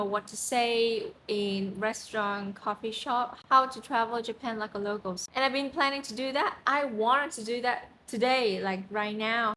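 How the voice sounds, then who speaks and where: A woman's voice: on the high side, a little hiss or hum. Chatty Vietnamese-accented woman, roomy echo. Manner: excitable, repeats phrases for practice, playful slang. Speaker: travel vlogger teaching phrases. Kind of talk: casual chatty lesson.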